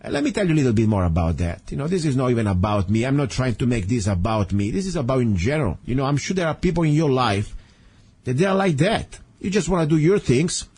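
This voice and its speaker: Husky Italian-accented male radio host. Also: medium pitch, very animated, fast talker, darkish tone. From Italy. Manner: rambling, earnest, with many fillers and restarts. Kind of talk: talk radio monologue. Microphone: studio microphone.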